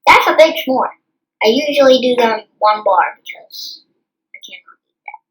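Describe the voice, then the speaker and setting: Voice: medium pitch, animated, normal speed, low-quality recording. A child host reading a picture book aloud and adding rambling, earnest asides. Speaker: storytime channel host.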